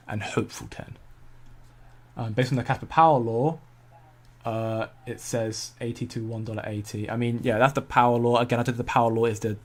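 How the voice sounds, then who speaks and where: A man, hosting a casual crypto livestream and answering viewer comments in a chatty, self-correcting way. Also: medium pitch. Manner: chatty, self-correcting, laughs easily at chat.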